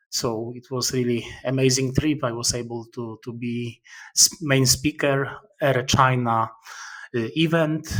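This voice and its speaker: A middle-aged man with a Polish accent, a guest author answering interview questions in careful, halting English with frequent pauses. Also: mid-range voice, fairly bright tone. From Poland.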